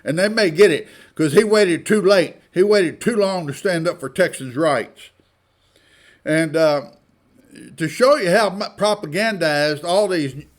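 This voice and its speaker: A man giving informal, opinionated news commentary in a blunt, folksy, indignant manner, often throwing out rhetorical questions. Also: fairly high pitch. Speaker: online commentator.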